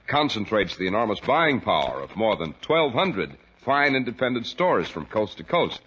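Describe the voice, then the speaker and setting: Old time american radio announcer guy, lowish bright voice, big swoops, muffled recording. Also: very animated, normal speed, slight background noise. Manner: polished, emphatic. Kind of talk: 1940s radio announcing, scripted.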